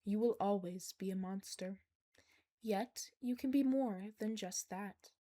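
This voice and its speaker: A woman's voice: medium pitch, dark tone, natural voice. A woman voicing an intelligent, whiskered captive creature in an audiobook, speaking precisely and without emotion. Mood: blunt. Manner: measured, unemotional, precise. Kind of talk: audiobook character dialogue.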